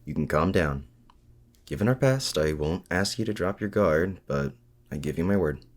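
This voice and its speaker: A man's voice: deep voice, lively intonation. A man acting in an intimate audio roleplay as a lonely, awkward supervillain and mad scientist, speaking in a hesitant, sincere way. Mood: wry, reassuring. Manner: hesitant, halting, softly sincere.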